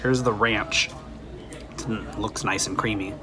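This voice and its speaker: A man's voice: fairly low pitch, steady tone, slow pace, over music. A man in his thirties, a vlogger casually walking through an empty restaurant and then tasting food, talking in an easygoing, chatty way with frequent ums. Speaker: vlogger exploring places and reviewing food. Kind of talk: casual walkthrough commentary and food review. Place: outside an empty restaurant, then inside a diner. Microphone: phone camera at arm's length.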